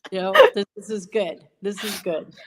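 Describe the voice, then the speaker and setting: A woman's voice: medium pitch, fairly even intonation, moderate pace, darkish tone. Chatty, self-deprecating woman on a call. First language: English. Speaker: co-host of a pen livestream. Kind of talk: casual chat on a livestream.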